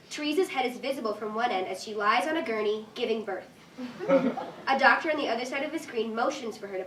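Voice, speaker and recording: Casting: female stage-direction narrator, slightly high voice, live read with strong room echo. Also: fairly bright tone. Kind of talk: scripted narration at a staged reading. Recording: echoey room, distant mic, faint noise.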